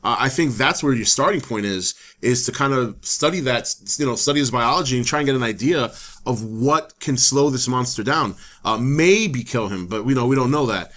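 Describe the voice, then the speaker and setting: A man hosting his own channel, talking casually to camera, a little hoarse from the flu, rambling with many fillers and restarts. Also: mid-range voice, moderate pace, a bit bright. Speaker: channel host. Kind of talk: casual opinion talk to camera.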